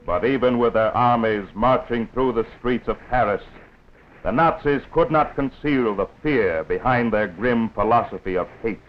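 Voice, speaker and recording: A man's voice: medium pitch, normal speed, slight background noise. A man narrating a 1940s wartime newsreel in a grave, oratorical, scripted style. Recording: dull lo-fi 1940s film soundtrack with music.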